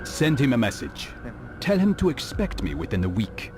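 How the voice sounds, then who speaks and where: A man voicing a stern, hooded assassin master in a video game, speaking in a measured, sardonic way. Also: mid-range voice, wildly swooping pitch. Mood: measured, probing. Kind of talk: scripted game cutscene acting.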